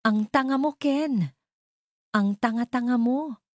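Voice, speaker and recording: A woman's voice: a little high, slow pace, darkish tone, dry recording, clean studio sound, pitched above the performer's own voice. A woman voicing an arrogant, scheming male villain in an audio drama, taunting and speaking with heavy emphasis. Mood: furious. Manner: taunting, emphatic, spelling words out.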